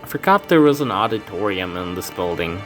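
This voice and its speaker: A man's voice: deep voice, animated, a little fast, faint music behind. A man reading a fan game aloud, voicing its characters with casual asides and stumbles. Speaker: let's play fan dubber. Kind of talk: casual game playthrough with voiced dialogue.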